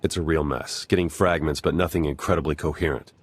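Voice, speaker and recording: A wry spy ally from a science-fiction game. His voice sits very low, and he talks casually and dryly, like an easygoing tech expert on a mission. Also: steady tone, a little fast, slightly rough. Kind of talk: video game voice acting.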